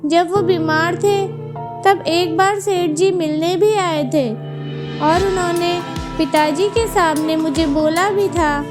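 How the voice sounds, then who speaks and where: Woman as a very high-pitched, troubled village schoolboy. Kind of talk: children's story dialogue. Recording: music behind the voice. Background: music.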